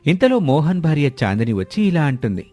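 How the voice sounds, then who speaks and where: A man's voice: a little high, big pitch swings, fairly quick, mellow, faint music behind. A man narrating a scripted moral story as the storyteller.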